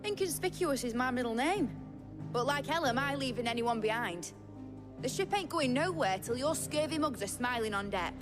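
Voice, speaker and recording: A woman's voice: high voice, lively intonation, moderate pace, over music. A woman playing a cheeky, salty sailor in a cinematic video game, speaking in a brash, colloquial way. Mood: cheeky, defiant. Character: cheeky, salty seafaring woman, loyal to her crew.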